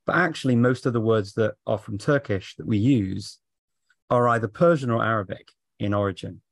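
A man's voice: fairly low pitch, normal speed, vocal fry, no echo, studio quality. A middle-aged man asking follow-up questions in a casual video call, with hedging fillers. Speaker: interviewer. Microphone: webcam video call.

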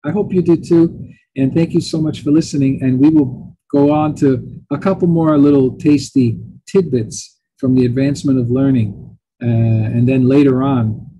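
A middle-aged man lectures in a loose, chatty way, his first language Italian. His voice is very dark and deeply rough, almost breathy. He talks at a desk webcam, full of fillers and lively asides. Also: normal speed, highs cut off. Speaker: professor.

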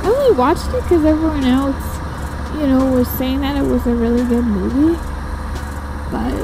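A woman's voice: sits high, expressive, moderate pace. Chatty young woman riding a motorcycle. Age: 20s. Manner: chatty, giggly, rambling. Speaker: motovlogger. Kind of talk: casual chat while riding. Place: outdoors on a moving motorcycle. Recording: wind and engine noise.

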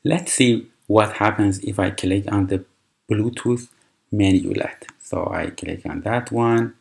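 A man with a very deep voice, a native Persian speaker, talks slowly through a computer tutorial. He explains each step patiently, pausing on fillers and restarting his phrases. Mouse clicks sound now and then. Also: vocal fry. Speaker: tutorial presenter. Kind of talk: screen-recorded software tutorial.

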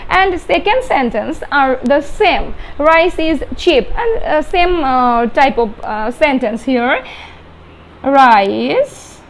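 A young woman teaching an English grammar lesson at a whiteboard, explaining haltingly and repeating herself. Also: sits high, lively intonation, a little hiss or hum. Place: indoor room.

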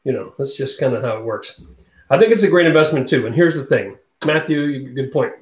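A man's voice: mid-range voice, animated, brisk, reverberant. A man in his forties hosting a casual livestream, chatting with viewers and reading their comments aloud. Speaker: channel host. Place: home studio room. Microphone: studio mic on boom arm.

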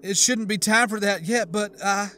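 A man voicing a grieving, half-mad woman scientist who snarls coldly and bursts into shouts. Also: high-pitched, moderate pace, voice pushed up. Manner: cold, snarling, breaking into shouts. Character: grief-crazed, bitter woman scientist.

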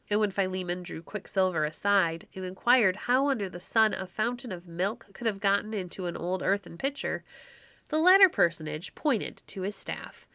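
A woman's voice: mid-range voice, bright, forceful tone, no room sound. A woman narrator reading a classic tale aloud in a scripted storybook style.

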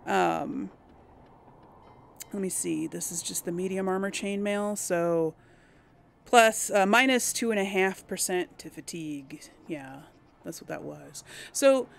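A woman's voice: fairly low pitch, creaky. A woman giving casual let's play commentary, hesitant and musing as she thinks aloud to herself. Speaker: gaming host.

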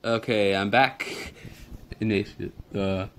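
A man's voice: on the low side, steady tone, slow, fairly bright tone, slight background noise. A young man casually commenting over a game, rambling and joking, mumbling through on-screen text. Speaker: gameplay video maker.

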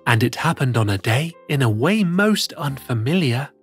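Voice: mid-range voice, lively intonation, normal speed, breathy edge, soft background music. A performer voicing a cheerful plush cat who reads picture books to children in a theatrical, sing-song way. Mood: storytelling. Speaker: storyteller host. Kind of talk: expressive children's picture-book read-aloud.

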